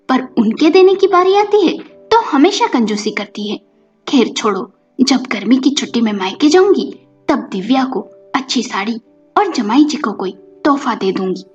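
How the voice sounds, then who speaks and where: A woman's voice: sits high, very animated, normal speed, darkish tone. A woman voicing a meek, dutiful young daughter-in-law in a family story, deferential and pleading. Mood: hurt, resigned. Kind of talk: dramatized Hindi family storytelling. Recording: dull lo-fi audio with music behind.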